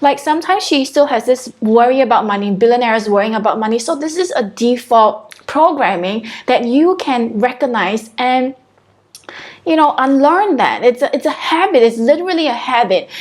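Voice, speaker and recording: A woman's voice: a little high, lively intonation, normal speed. A woman from Singapore with a Singaporean English accent, hosting a casual spiritual coaching live stream from her home, chatty and repeating her phrases as she talks to viewers.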